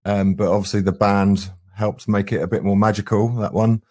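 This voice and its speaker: A man with a low voice talks casually in an interview. He sounds earnest and keen, like a band frontman explaining his work. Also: flat delivery, moderate pace, warm tone. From England, UK. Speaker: band singer and guitarist, guest.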